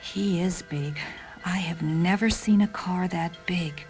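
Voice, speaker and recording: A woman voicing a loyal, dutiful talking combat car in a science fiction story, calm and matter-of-fact, with music behind her. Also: lowish voice. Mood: calm, reporting. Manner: measured, matter-of-fact reporting.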